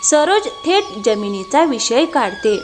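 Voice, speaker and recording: A female narrator reads a scripted moral story. Her voice is high and very varied in intonation, with faint music underneath. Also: very animated, measured pace.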